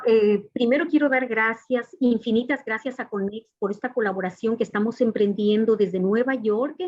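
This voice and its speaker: A woman from Ecuador, giving earnest, formal, explanatory answers as an interview guest. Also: fairly high pitch. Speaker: interview guest, artist and professor. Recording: dull, echoey lo-fi call audio.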